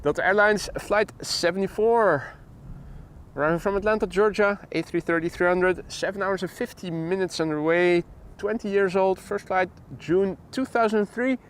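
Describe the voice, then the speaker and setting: A man's voice: high voice, normal speed, smooth. A man with a Dutch accent hosting a live plane-spotting stream outdoors, chatting casually with viewers and laughing often. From Netherlands. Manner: chatty, laughs often, reads chat aloud. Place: outdoors at an airport.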